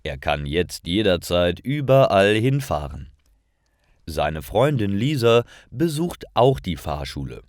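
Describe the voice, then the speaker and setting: A man reading a scripted story for language learners, with careful, clearly separated phrasing. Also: sits low, sing-song, takes it slowly, dry recording, clean studio sound. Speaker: narrator of language-learning stories.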